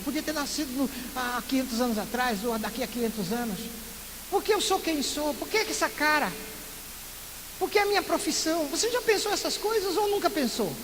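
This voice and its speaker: A man from Recife preaching a sermon as pastor, lively, full of rhetorical questions and playful asides. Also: very high-pitched. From Recife, northeastern Brazil. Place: church sanctuary. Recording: echoey church hall with loud hiss.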